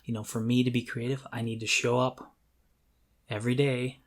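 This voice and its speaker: A middle-aged man co-hosting a podcast, talking casually and reflectively in an earnest, halting way as he thinks aloud. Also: medium pitch, flat delivery. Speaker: podcast co-host. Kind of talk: casual, reflective talk about creativity.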